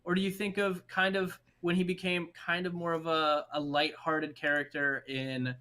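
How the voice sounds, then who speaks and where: A man co-hosting a casual movie discussion, talking in an animated, opinionated, emphatic way. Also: a little high, moderate pace. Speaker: podcast co-host.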